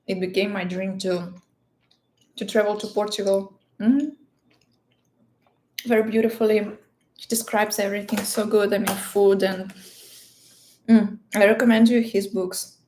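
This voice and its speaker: A woman's voice: medium pitch, steady tone, unhurried, pauses often, dark tone. A woman with a Russian accent, a native Russian speaker, chatting casually and playfully as she answers livestream questions, often searching for words. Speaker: livestream host.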